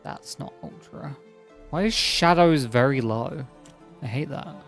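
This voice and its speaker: A man's voice: mid-range voice, measured pace, vocal fry, soft background music. A man streaming a game, chatting casually and rambling to his viewers, his voice a little strained as if he is losing it. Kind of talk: casual live-stream chat while gaming.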